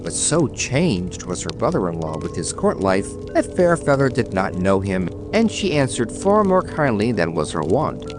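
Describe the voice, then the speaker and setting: A man's voice: fairly low pitch, sing-song, moderate pace, hardly any pauses. A man narrating a bedtime fairy tale in a dramatic storytelling style, with music behind him.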